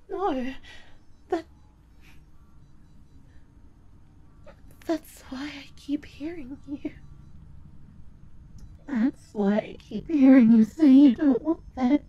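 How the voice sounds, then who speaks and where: A woman playing an obsessive, anguished would-be mother in an audio roleplay, halting and swinging between whispers and outbursts. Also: sits high, dull audio. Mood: distraught disbelief. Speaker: voice actor. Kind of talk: dramatic ASMR audio roleplay.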